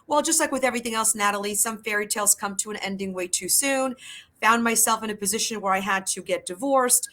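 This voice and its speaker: A Polish-born woman, a family lawyer, talking upbeat and quickly as an interview guest, with Polish her first language. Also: medium pitch, pushed and bright. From Poland. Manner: upbeat and talkative, quick bursts. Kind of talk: interview conversation.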